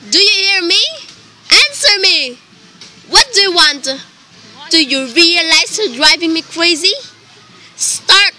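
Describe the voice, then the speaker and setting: A woman's voice: extremely high pitch, pushed and bright, slight background noise, full character voice. A woman playing a nervous, demanding invalid wife in a radio play, with indignant, melodramatic delivery. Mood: furious, frantic. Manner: melodramatic, indignant, slow deliberate emphasis. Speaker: student actor in a radio play.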